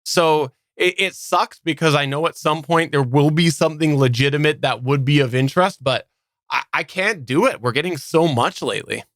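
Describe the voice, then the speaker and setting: A man co-hosting a casual live podcast chat, often repeating words haltingly as he works through a thought. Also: a little high, fairly bright tone, no room sound, studio quality. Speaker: podcast co-host.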